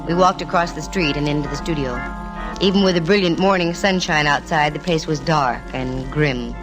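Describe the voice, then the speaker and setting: An actress playing a wisecracking, cool-headed private detective in an old radio mystery, dry and sardonic. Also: female voice, low voice, normal speed, over music, close to an everyday voice, rough recording. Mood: narrating. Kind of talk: 1940s-50s radio mystery acting and first-person narration.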